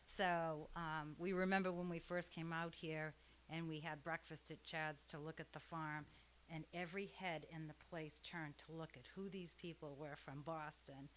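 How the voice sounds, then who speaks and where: A woman farm owner speaking informally at a public meeting in a relaxed, conversational way. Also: low-pitched, normal speed, a little hiss or hum, poor audio.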